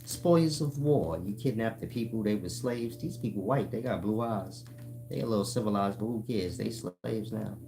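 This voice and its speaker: A man's voice: fairly low pitch, moderate pace, creaky, mellow, a little hiss or hum, poor audio. An American man giving a casual explanatory monologue in blunt, colloquial, sweary language, often checking that listeners follow. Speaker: online spiritual teacher and channel host. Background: music.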